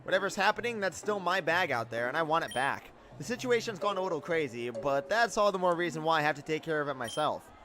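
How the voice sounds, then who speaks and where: A man's voice: a little high, animated, quick pace, few pauses, crowd noise, close to an everyday voice. A man voicing a hot-headed, impulsive teenage male student in a visual novel, blunt and exclamatory. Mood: indignant, determined.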